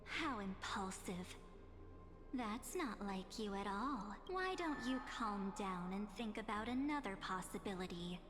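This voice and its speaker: A woman's voice: mid-range voice, lively intonation, a little slow, lightly acted. A voice actress playing a composed, enigmatic woman who taunts coolly in a video game. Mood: calm, taunting. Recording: long reverb under game music.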